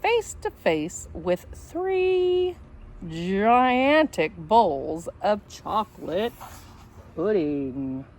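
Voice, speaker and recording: A woman reading a children's story aloud in a playful, expressive way, with asides addressed to the young listeners. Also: mid-range voice, extremely slow. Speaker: storybook reader.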